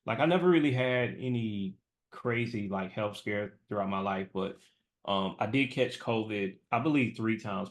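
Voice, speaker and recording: A young man hosting a podcast, talking casually with many fillers. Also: lowish voice. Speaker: podcast host. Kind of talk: casual interview talk.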